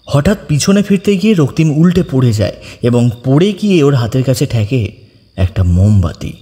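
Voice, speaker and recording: Mellow male narrator in long reverb. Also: medium pitch, very animated, normal speed. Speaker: story narrator. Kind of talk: dramatic scripted narration of a ghost story.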